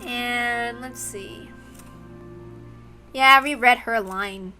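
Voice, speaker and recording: A woman's voice: a little high, very slow, pushed and bright. A woman streaming a read-aloud of a visual novel, informal in the way she reads and comments. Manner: chatty, informal between passages. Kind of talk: read-aloud narration with casual asides. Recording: music behind the voice.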